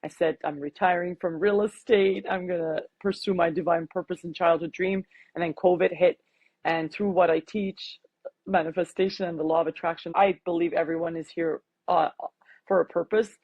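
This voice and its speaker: A woman's voice: fairly low pitch, fairly even intonation, moderate pace, slightly rough, no echo, dull audio. A middle-aged woman from Canada with a mixed accent, a life coach speaking enthusiastically as an interview guest. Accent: mixed, Canadian with hints of Armenian and British. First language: Armenian. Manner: enthusiastic, motivational, with frequent laughs. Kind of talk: interview conversation.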